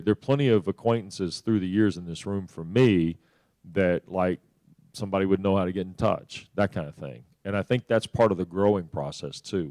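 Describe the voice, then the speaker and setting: A man with a very low voice speaks on a panel as a broadcaster. He gives practical advice directly and with emphasis. The sound is dry and free of room reflections. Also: darkish tone, no room sound. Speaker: sports broadcaster, panel moderator.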